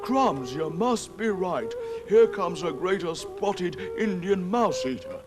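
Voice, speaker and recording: A man's voice: high-pitched, expressive, slow, music in the background, pitched above the performer's own voice. A man voicing a sardonic, grumpy male church cat in a storybook, dry and sarcastic. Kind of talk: storybook character voice.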